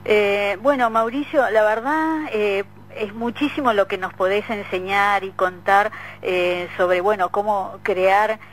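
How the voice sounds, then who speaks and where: A woman's voice: medium pitch, fairly even intonation, slow pace, hardly any pauses, slight background noise, poor audio. A woman with a Rioplatense Argentine accent interviewing conversationally on the radio. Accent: Rioplatense Argentine Spanish.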